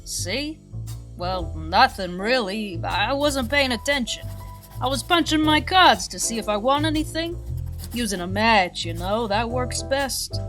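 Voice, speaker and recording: An actress playing an eager, excitable, rambling gambling customer in a satirical 1940s audio play. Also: female voice, fairly high pitch, a little slow, soft background music. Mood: thoughtful. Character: eager, gushing punch-board gambling customer.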